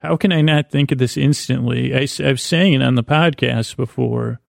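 A man hosting a sleep podcast with a meandering, halting, whimsical bedtime monologue in a gravelly, creaky voice. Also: mid-range voice, dry recording, clean studio sound. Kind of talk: rambling improvised bedtime monologue.

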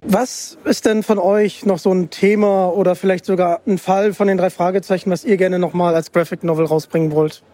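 A man's voice: high-pitched, fairly even intonation, fairly quick, few pauses, dark tone. A man working as an interviewer, speaking casually to guests. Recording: faint background noise.

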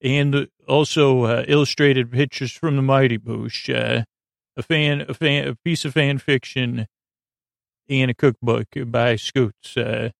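A middle-aged man with a creaky, gravelly voice hosts a bedtime podcast, rambling haltingly and interrupting himself as he goes. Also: medium pitch, fairly even intonation, dry recording, clean studio sound. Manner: meandering, halting, self-interrupting. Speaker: podcast host.

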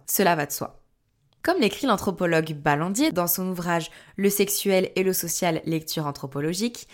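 A woman delivering scripted narration in a measured, essay-like reading. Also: lowish voice.

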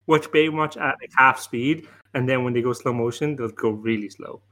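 A man's voice: mid-range voice, normal speed, pushed and bright. A man on a casual podcast panel, teasing his friends with plenty of swearing. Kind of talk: casual bantering group chat.

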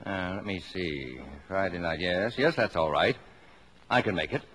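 A man's voice: deep voice, expressive, moderate pace, a bit bright. A middle-aged American man playing a strict, fussy, sardonic father in a 1950s radio comedy, with a dry, exacting manner. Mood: dry, firm. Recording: noisy 1950s radio broadcast, live audience.

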